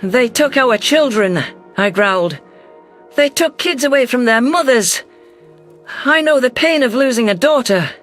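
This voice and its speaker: A man's voice: very high voice, animated, unhurried, fairly bright tone, soft background music, full character voice. A man voicing an emotional female alien governor, narrating a science fiction audiobook in an earnest, formal, dramatic way. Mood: angry, pained.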